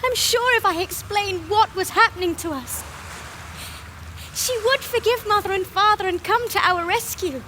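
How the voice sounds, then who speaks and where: Very high-pitched young stage girl. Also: normal speed, pushed and bright, a little hiss or hum. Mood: wistful. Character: Helen, indignant young girl pleading for schooling. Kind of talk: theatrical stage acting.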